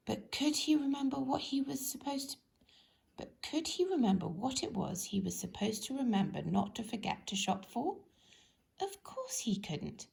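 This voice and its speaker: A woman's voice: medium pitch, vocal fry, a little mellow. A woman storyteller narrating a children's storybook.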